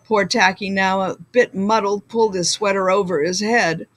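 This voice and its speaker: A woman reading a children's story aloud like a school librarian, with deliberate, expressive delivery. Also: mid-range voice.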